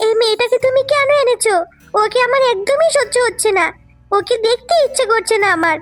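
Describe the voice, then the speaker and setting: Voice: extremely high pitch, brisk, a bit bright. A performer voicing a jealous, bad-tempered little girl in a children's cartoon, petulant and demanding. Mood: jealous anger.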